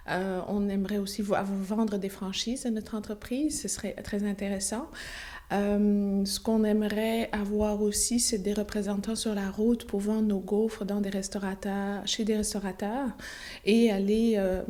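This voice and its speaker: A woman's voice: medium pitch, mellow. A woman, answering interview questions hesitantly with many fillers and restarts. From Belgium. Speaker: business owner, interview guest.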